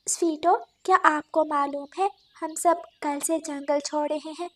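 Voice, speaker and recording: Lady doing a worried mama bird for a kids cartoon, gentle n sensible, high voice. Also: fairly even intonation, measured pace. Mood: concerned, urging.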